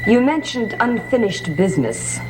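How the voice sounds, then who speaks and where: An actress playing a sly, mocking society gossip in a gothic soap opera, arch, needling and condescending. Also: female voice, on the high side, sing-song, moderate pace, rough recording. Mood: feigned innocence. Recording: music behind the dialogue.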